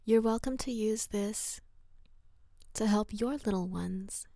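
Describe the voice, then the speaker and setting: A woman leading a slow, soothing guided relaxation in a soft, breathy, often near-whispered voice, gentle and unhurried with long pauses. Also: medium pitch, dry recording, clean studio sound. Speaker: guided meditation narrator.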